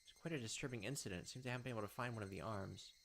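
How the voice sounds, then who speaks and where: A let's play host voicing a soft-spoken, ominous adult man in a visual novel, quiet and measured. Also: mid-range voice, vocal fry, slight background noise, voice pushed down. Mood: grave, quiet.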